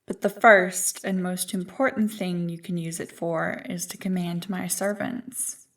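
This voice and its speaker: A woman voicing an ancient, evil primordial being in an ASMR roleplay, smug and tempting, with a deliberate, taunting delivery. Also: on the low side, fairly even intonation, distant mic. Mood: sly, commanding. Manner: slow, deliberate, taunting, coolly amused.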